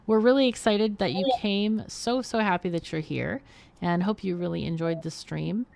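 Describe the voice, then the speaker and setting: A woman's voice: on the low side, normal speed, gravelly, a little mellow. A woman hosting a game livestream, chatting casually and frankly as she plays and talks with guests. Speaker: livestream host playing a game. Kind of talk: casual live game commentary and chat.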